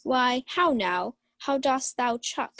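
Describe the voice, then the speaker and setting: A woman's voice: sits high, lively intonation, slow pace, a bit bright, no room sound, studio quality, full character voice. A young woman playing a scheming male knight, mock-solemn and theatrical, in a radio-style Shakespeare play. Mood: mock concern. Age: young adult. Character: scheming, mischievous knight uncle, feigning grave concern. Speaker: student actor.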